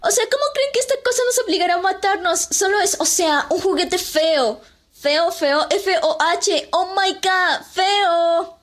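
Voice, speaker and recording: A woman's voice: very high voice, measured pace, fairly bright tone. A woman voicing a vain, dismissive teenage fashionista who drawls her words in a fan dub. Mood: snooty. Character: vain valley-girl teen fashionista.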